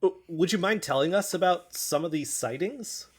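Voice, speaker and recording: Actress as lively-pitched, earnest amateur investigator. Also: female voice, normal speed, slightly rough. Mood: probing. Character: curious amateur investigative podcaster, earnest and probing. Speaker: podcast interviewer. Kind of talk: audio drama acting as interview.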